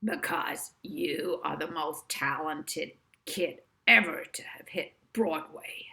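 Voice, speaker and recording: A woman voicing an excitable, smitten male New York talent agent, breathy and gushing, in a storybook reading. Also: low-pitched, slow pace, heavily acted. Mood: awed, entranced.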